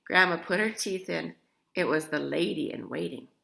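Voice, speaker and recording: A woman's voice: fairly low pitch, lively intonation, moderate pace, gravelly, bright, forceful tone. A woman reading a children's story aloud in a theatrical, emphatic way, with chatty asides to the listener. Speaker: storybook reader.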